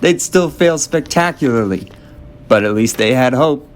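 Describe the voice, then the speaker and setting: A man's voice: lively intonation, normal speed, close to an everyday voice. A man playing a sassy, gossipy, catty teenage debater in an amateur radio drama, chatty in his delivery. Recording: clear background noise with low rumble.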